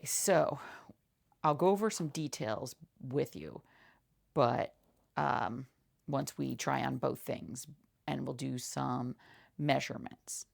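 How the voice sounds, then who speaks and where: Low-pitched, creaky soft-spoken ASMR woman. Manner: casual, halting, murmuring repeated reassurances. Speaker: ASMR creator playing a seamstress.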